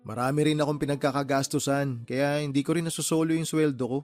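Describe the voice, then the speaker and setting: A man's voice: on the high side, steady tone, quick pace, a little mellow, no echo, soft background music, natural voice. A man playing a sensible, frank younger brother who chides in a Filipino radio drama. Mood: sympathetic. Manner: frank, chiding, matter-of-fact.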